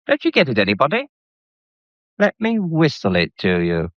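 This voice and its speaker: An actor in a radio drama playing a smug, taunting man who relishes his hold over others, speaking in a theatrical, drawn-out, mocking way. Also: mid-range voice, wildly swooping pitch, noisy background. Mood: teasing, mocking. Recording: slightly dull, dry studio sound.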